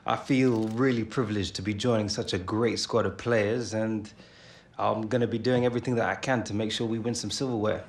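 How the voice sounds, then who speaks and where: An actor playing an easygoing young footballer in a naturalistic video game scene. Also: male voice, lowish voice, steady tone, fairly quick, lightly acted. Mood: earnest, grateful. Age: young adult. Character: easygoing eighteen-year-old male professional footballer.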